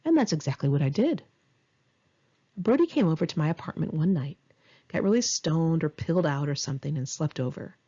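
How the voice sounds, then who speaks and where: A woman's voice: low voice, expressive, a little fast, dark tone, husky. A woman reads her own memoir aloud in a conversational, candid and wry way. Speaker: author reading a memoir chapter. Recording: dull, lo-fi home recording.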